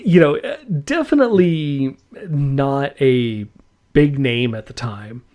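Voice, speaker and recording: A man hosting a podcast, chatting casually and enthusiastically. He talks at an unhurried pace with a lively, bouncing pitch, rambling along and laughing often. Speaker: podcast host.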